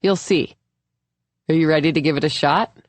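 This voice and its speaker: A woman's voice: deep voice, normal speed, no echo, close to an everyday voice. An easygoing man , as voiced by a woman narrator, coaches a beginner at sailing in audiobook dialogue. He is patient, encouraging and lightly teasing, and the sound is dry.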